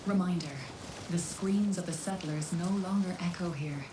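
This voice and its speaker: A woman plays a cold, detached announcer in a video game, speaking in an ominous tone. Her somewhat low voice rings out from a distance in a reverberant space. Loud rain noise fills the background. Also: measured pace, lightly acted.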